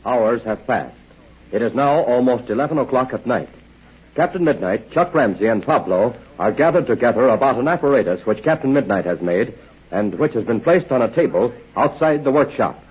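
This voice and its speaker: Male serial announcer, formal narration, husky voice, distant and muffled. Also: medium pitch, expressive. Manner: measured, formal. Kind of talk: scripted radio narration. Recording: muffled distant old radio.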